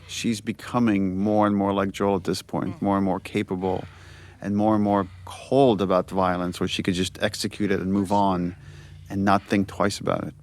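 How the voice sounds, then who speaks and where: A man's voice: sits low, little pitch movement, normal speed. A man giving casual director's commentary on game scenes, talking informally through what he sees.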